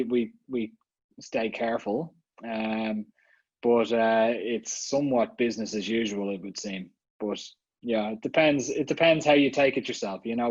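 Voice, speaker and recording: A man's voice: medium pitch, no room sound. Chatty Irish male guest on a muffled, dry video-call line, full of fillers. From Ireland. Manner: chatty, many fillers and restarts. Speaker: guest speaker, marketing executive. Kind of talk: casual online summit talk.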